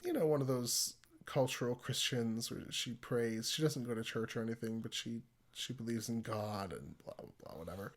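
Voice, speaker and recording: A man hosting a podcast, sounding congested from a cold, telling stories in a rambling, animated way. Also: on the high side. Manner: rambling, animated storytelling with laughs. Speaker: podcast host. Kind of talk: casual conversational podcast.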